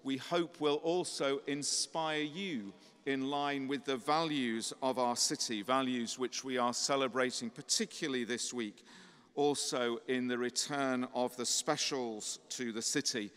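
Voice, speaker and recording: A middle-aged clergyman, his voice a little croaky, giving a measured, courteous welcome address from a lectern in a large cathedral. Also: medium pitch, fairly even intonation. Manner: measured, courteous, gently humorous. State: slightly croaky voice. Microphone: lectern microphone. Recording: long cathedral reverb.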